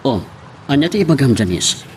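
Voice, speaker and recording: A man's voice: mid-range voice, very animated, moderate pace, a little mellow, slight background noise, natural voice. A man playing a stern, suspicious middle-aged father in an Ilocano audio drama, with an Ilocano accent. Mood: questioning.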